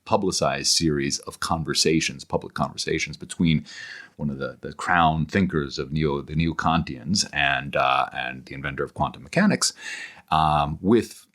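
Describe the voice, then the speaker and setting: Man, very deep pitch, scholarly guest, halting delivery, repeated words, thoughtful discussion. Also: a bit bright. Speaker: interview guest, scholar and author. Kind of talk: thoughtful intellectual conversation.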